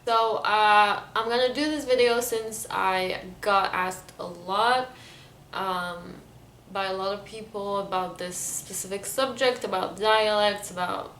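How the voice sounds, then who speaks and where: A woman's voice: medium pitch, fairly even intonation, a little slow, fairly bright tone, a little hiss or hum. A young woman in her twenties from Lebanon, a native Arabic speaker, talking casually to camera at home with plenty of fillers and little laughs. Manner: chatty, loose, many fillers and small laughs. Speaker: vlogger. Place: living room with a sofa, indoors. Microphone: camera in front at close range. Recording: roomy home recording.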